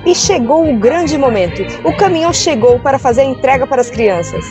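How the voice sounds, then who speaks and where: A woman playing an excitable news reporter in an audio drama, announcing formally. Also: high voice, brisk, music in the background. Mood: upbeat.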